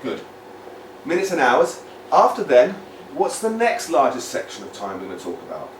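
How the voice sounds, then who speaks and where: A British man from the United Kingdom, an English teacher giving a lively lesson to children, repeating words and asking the viewer questions. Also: medium pitch, moderate pace, a little hiss or hum. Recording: echoey room, mic at a distance.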